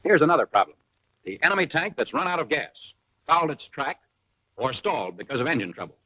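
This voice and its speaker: Casting: American man, slightly high-voiced and husky, punchy wartime instructional narrator for a vintage 1940s army film. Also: sing-song, quick pace, sharp tone, dry recording. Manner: punchy, colloquial, wartime slang. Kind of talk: 1940s military training film narration, scripted. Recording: muffled, raspy vintage 1940s film soundtrack.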